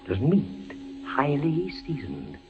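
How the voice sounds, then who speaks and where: Tormented prisoner, wildly swinging pitch. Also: male voice, wildly swooping pitch, heavily acted. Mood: haunted, narrating. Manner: halting, repetitive, feverish theatrical delivery. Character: tormented, fevered male prisoner of the Inquisition. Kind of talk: old-fashioned radio horror drama acting. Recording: dull lo-fi radio recording with music.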